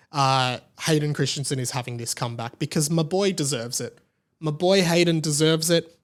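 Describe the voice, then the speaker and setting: Higher-voiced Australian man, podcast host. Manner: opinionated, emphatic, conversational. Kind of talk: casual solo pop-culture commentary.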